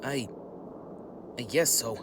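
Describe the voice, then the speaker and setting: A man's voice: medium pitch, slow, heavy background noise, lightly acted. A man voicing an eager, impulsive young boy turtle in a comic dub, with a boyish, sulky manner. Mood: whiny. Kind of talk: comic dub dramatic dialogue. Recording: music behind the voice.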